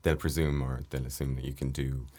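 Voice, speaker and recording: Very deep-voiced Irish man. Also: fairly quick. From Ireland. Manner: chatty, anecdotal, relaxed. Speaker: actor guest. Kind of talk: casual interview chat.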